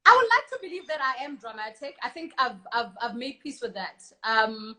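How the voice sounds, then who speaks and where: Female guest, high and bright, loud and expressive, video call. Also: fairly quick. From South Africa. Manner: loud, passionate, expressive delivery. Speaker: radio and television personality, guest. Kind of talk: casual live-stream interview.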